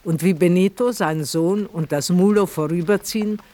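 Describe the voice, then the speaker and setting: Higher-pitched male radio play narrator. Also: normal speed, mellow. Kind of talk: scripted literary radio drama narration.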